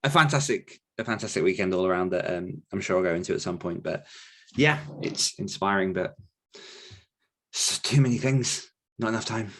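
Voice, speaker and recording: Low-voiced man chatting on a podcast. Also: animated, moderate pace, a bit bright. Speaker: podcast host and author coach answering questions. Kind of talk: casual podcast chat.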